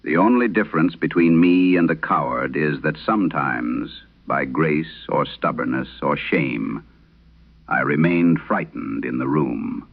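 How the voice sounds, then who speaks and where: An actor playing an aging, haunted priest, giving a slow, solemn confession in a grave, measured horror radio monologue. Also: male voice, a bass voice, heavily acted. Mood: tired, sombre. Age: older. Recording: muffled vintage radio sound.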